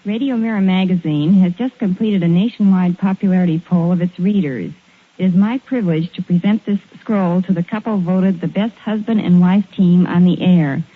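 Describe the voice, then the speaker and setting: Low, husky woman presenter. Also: moderate pace. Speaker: magazine editor presenting an award. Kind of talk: formal scripted presentation. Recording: tinny vintage radio recording.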